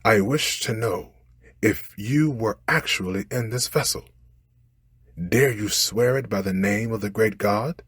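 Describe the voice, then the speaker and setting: A humble storybook fisherman, acted out by a man, implores in formal, old-fashioned phrases. The voice is lifted and very breathy, recorded dry and close. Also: fairly low pitch, measured pace, fairly bright tone, dry recording, voice pushed up. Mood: doubting. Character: humble, pleading male fisherman, clever under threat. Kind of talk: storybook character voice.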